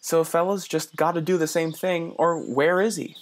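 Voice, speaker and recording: A man's voice: on the high side, fairly even intonation, close to an everyday voice. An actor playing an earnest young man from the city who muses aloud and stammers a little when he is unsure. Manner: earnest, halting with small stammers. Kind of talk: radio play acting.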